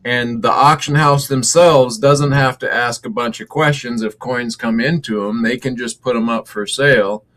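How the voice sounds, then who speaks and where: A man's voice: medium pitch, fairly even intonation, moderate pace, few pauses, a bit bright. A man hosting a live interview, asking questions hesitantly and repeating words as he searches for them. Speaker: host. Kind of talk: casual interview talk.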